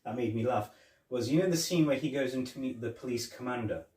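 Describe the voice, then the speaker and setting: A man's voice: medium pitch, fast, a little mellow, recorded from a distance. A man co-hosting a casual movie review podcast. He rambles, restarting and repeating his words. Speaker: podcast co-host. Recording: echoey room, off-mic.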